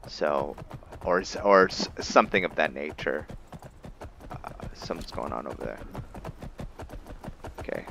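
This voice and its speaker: A man giving casual let's-play commentary and roleplay narration in a halting, musing way, often correcting himself. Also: a bass voice, expressive, vocal fry, a bit bright, slight background noise. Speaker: host of a roleplay gaming series.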